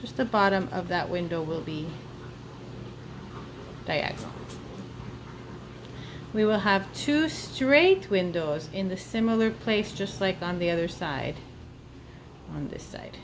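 A woman's voice: lowish voice, lively intonation, slow pace, pauses often, noisy background. A woman giving an informal step-by-step drawing lesson for children, thinking aloud as she works. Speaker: art teacher. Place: indoors at a table.

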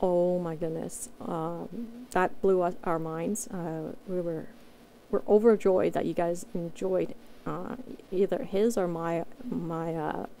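A woman's voice: on the low side, steady tone, slow pace, vocal fry, mellow, slight background noise, rough recording. A woman chatting casually and unscripted to her listeners as a channel creator, hesitant and rambling. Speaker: amateur voice actor and channel creator. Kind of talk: casual unscripted outro chat.

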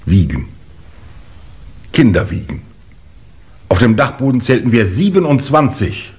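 An actor playing a composed, authoritative police inspector, formal and pointed, in a 1950s German radio play. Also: male voice, medium pitch, sing-song, measured pace, a little mellow.

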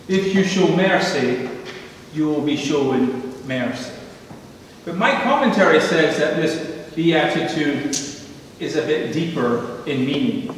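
A middle-aged man preaching a sermon from a church pulpit, slow and measured, rising for emphasis on repeated phrases. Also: fairly high pitch, a little hiss or hum. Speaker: pastor. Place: church sanctuary, standing at a pulpit. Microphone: pulpit microphone heard from a distant camera. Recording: echoey church hall from far off.

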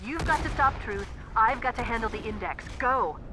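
A woman's voice: a little high, animated, normal speed, natural voice. A woman playing an articulate, earnest artificial intelligence companion in a video game. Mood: urgent. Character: urgent, quick-witted female artificial intelligence companion. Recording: loud battle noise or music behind.

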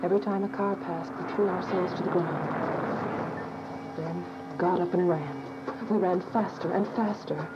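A woman's voice: lowish voice, fairly even intonation, measured pace, natural voice. Actress as earnest fugitive woman, dull lo-fi sound. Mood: solemn, tense. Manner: earnest, dramatic, literary delivery. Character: frightened, devout young woman fugitive telling her story. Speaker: narrator and lead actor. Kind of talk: dramatic first-person radio drama narration. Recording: dull, lo-fi audio with music behind.

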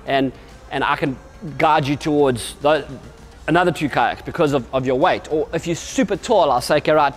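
A man in his forties talks straight to the camera about kayaks, his voice sitting a little high for a man. He speaks with lively energy, gesturing as he goes and often restarting his sentences. Soft music plays faintly underneath him in an indoor showroom. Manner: energetic, gestures constantly while talking, many restarts. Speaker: kayak company representative. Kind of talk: explanatory product talk to camera. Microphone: camera at medium distance.

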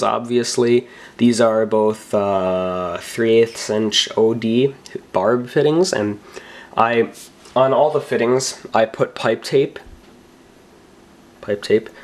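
A young man with a cold, rambling casually through a home tech show-and-tell, full of fillers and self-corrections. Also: mid-range voice. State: recovering from a cold. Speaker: tech vlogger. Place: bedroom desk, indoors.

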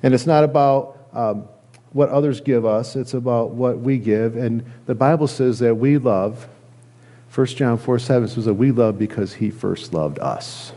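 A middle-aged man, a pastor, preaching a conversational sermon in an earnest, halting way with repeated phrases. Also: medium pitch, warm tone. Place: church sanctuary, at a lectern indoors.

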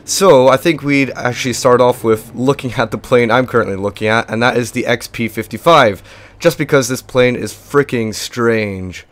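A young man with a lost voice and little pitch movement, hosting a casual game walkthrough in a chatty, rambling way. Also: normal speed, few pauses. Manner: rambling, chatty, filler-heavy. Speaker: gaming video host.

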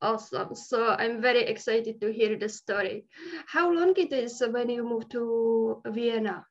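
Czech lady interviewing over video call, high and excited, kinda muffled. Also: a little slow. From Czech Republic. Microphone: pink headphones on video call.

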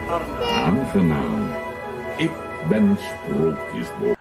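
A man playing a huge ancient storytelling tree in a theme park, with a gravelly voice and grand, slow manner. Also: low voice, wildly swooping pitch, heavily acted. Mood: grand, welcoming. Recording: park attraction under loud music.

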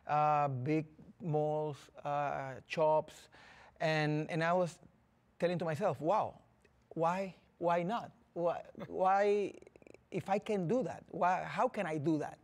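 A man in his forties from the Dominican Republic with a Dominican Spanish accent, a TV interview guest who speaks haltingly and restarts phrases. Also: a little high. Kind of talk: interview conversation. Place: TV studio set. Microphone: lapel.

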